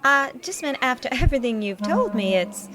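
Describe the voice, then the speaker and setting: A woman's voice: high-pitched, expressive, normal speed. A woman playing a nervous, browbeaten wife in an audio drama, hesitant and apologetic. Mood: flustered. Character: nervous, browbeaten young wife making excuses. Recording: music under the voice.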